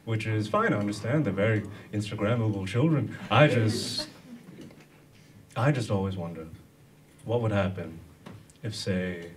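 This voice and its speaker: Deadpan Sri Lankan comedian, very noisy recording. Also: male voice, on the low side, unhurried, long pauses. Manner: hesitant, deadpan delivery. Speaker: stand-up comedian. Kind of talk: stand-up comedy monologue. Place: small dark comedy club stage. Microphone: handheld stage microphone. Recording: distant, very noisy live room.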